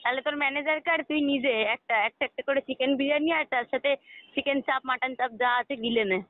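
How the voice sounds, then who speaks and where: High-pitched blunt woman on the phone. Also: brisk, no echo. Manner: blunt, scolding, slangy. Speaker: prank call target. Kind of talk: casual phone chat. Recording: narrow noisy phone line.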